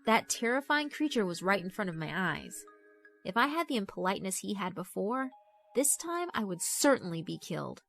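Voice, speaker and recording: A woman's voice: mid-range voice, sing-song, moderate pace, creaky, fairly bright tone. A woman reading scripted first-person narration for a horror visual novel. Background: background music.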